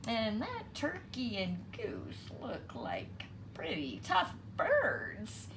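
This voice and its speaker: A woman's voice: fairly high pitch, wildly swooping pitch, extremely slow, creaky, noisy background, exaggerated voice. A woman voicing a greedy, sly male fox villain from a storybook, gloating and scheming. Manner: gloating, scheming, chuckling over victims.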